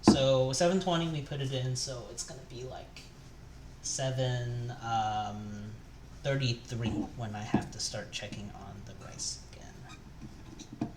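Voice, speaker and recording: A man's voice: medium pitch, slow, heavy background noise. A young man chatting casually while he cooks on a live stream, rambling with lots of fillers and restarts. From Taiwan. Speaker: cooking streamer. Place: home kitchen. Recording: echoey room with music. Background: background music and chopping.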